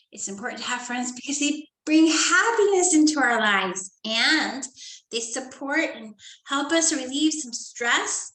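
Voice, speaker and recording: Slow bright-voiced woman teacher. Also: on the high side, roomy sound, low-quality recording. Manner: slow, gentle, talks to children with frequent "okay?" checks. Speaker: first grade teacher. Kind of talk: reading a story and explaining a study guide to young children.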